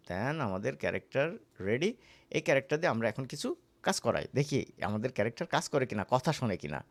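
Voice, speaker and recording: A man with a Bangladeshi accent giving a casual, conversational software tutorial as an instructor, often checking in with the listener. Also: mid-range voice, creaky. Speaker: animation course instructor.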